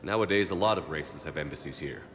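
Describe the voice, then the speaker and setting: A man playing a steadfast military commander in a video game, speaking in a measured, grave manner. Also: a bass voice, a bit bright, soft background music. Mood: calm, supportive.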